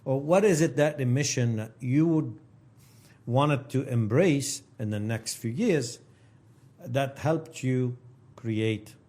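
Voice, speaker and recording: A male host asking a guest a long, winding question in a soft-spoken way. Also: medium pitch, slow pace. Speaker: interview host. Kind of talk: interview questions.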